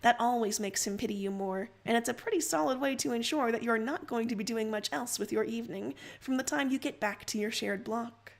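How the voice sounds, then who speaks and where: Female narrator, higher-pitched voice, expressive read-aloud storytelling for a stream. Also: a little fast, vocal fry, few pauses. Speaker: livestream host reading fan fiction aloud.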